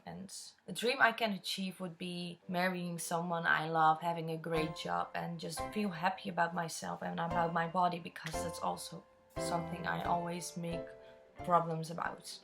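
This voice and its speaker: A woman's voice: fairly low pitch, gravelly. Chatty young Dutch woman, music behind. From Netherlands. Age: 20s. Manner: chatty, open, rambling storyteller. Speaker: vlogger answering viewer questions. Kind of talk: casual chat.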